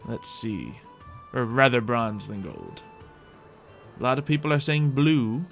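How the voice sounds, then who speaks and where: A man's voice: medium pitch, huge pitch swings, measured pace, gravelly, faint music behind. A man giving playful, improvised gameplay commentary as a host, thinking aloud to viewers while roleplaying. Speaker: gaming host playing a roleplay character.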